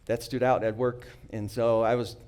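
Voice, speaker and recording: A middle-aged man, not a native English speaker, telling a prepared personal story in an earnest, reflective way, with small restarts and frequent throat-clearing. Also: medium pitch, level tone, moderate pace, darkish tone.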